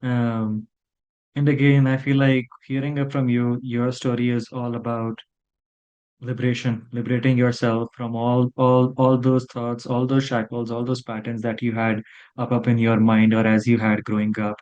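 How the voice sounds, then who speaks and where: A man's voice: mid-range voice, monotone, moderate pace, a little mellow, highs cut off. A man hosting a podcast, framing his questions carefully and stumbling over words along the way. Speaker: podcast host. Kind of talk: interview questions.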